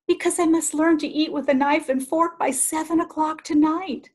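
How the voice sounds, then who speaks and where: A woman voicing an earnest, anxious young woman from a storybook, in an expressive way. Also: sits high, moderate pace, voice pushed up, lightly acted. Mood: worried.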